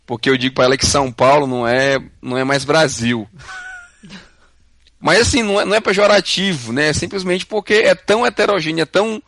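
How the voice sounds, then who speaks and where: A man's voice: fairly high pitch, wildly swooping pitch, a little fast, fairly bright tone, no echo. Guy cohosting a podcast, teasing and asking questions, dry close sound. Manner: teasing, storytelling, poses questions. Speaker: podcast co-host. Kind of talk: casual chat.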